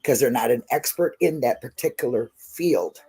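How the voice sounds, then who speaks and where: A woman co-hosting a casual livestream, chatty, laughing often and jumping in with quick asides. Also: a bass voice, expressive, dark tone, husky. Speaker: livestream co-host.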